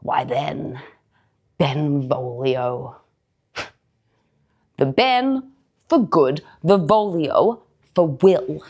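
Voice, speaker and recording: A woman playing a brooding, strong-willed young male villain in a deliberate, declamatory verse monologue on stage. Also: low-pitched, expressive, darkish tone, exaggerated voice. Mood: bitter, brooding. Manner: declamatory, deliberate, weighty pauses. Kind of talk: theatrical Shakespearean verse monologue.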